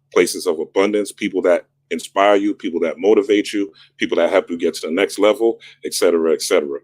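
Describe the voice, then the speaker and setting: A man with a deep voice hosting a spiritual talk, casual but insistent, stacking repeated phrases for emphasis. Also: a little mellow, no echo. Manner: repetitive, preacher-like emphasis. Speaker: spiritual astrology channel host. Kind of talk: casual motivational monologue.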